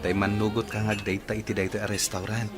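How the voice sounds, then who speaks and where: An actor with an Ilocano accent playing a tender, devoted young suitor in a radio drama, coaxing and earnest. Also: male voice, fairly low pitch, flat delivery, fast talker, loud music behind the voice, lightly acted. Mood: earnest, pleading.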